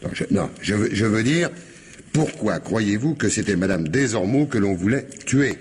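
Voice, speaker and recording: A deep, animated police commissioner acted by a man in a radio mystery. He probes with sly irony, stumbling and repeating himself as he questions. There is some noise behind the voice. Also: moderate pace, lightly acted. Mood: probing, bemused. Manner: hesitant, repeats himself, muttering interjections. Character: folksy, probing male police commissioner, slyly ironic. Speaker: actor in a radio mystery play. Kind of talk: French radio mystery drama acting. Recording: radio drama with audible background noise.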